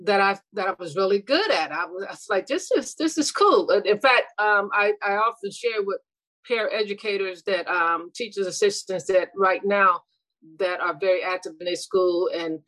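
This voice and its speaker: A woman's voice: mid-range voice, normal speed, no room sound, poor audio. A middle-aged woman, a retired school administrator, talking earnestly and reflectively in an interview, often restarting her sentences. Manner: earnest, reflective, with frequent restarts and soft laughs. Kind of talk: casual interview conversation.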